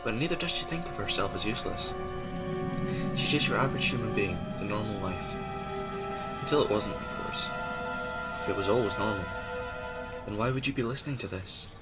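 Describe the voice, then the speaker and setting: Ominous low-voiced male narrator. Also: expressive. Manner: ominous, deliberate, sometimes dropping to a whisper. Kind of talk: scripted audio drama narration. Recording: music playing behind.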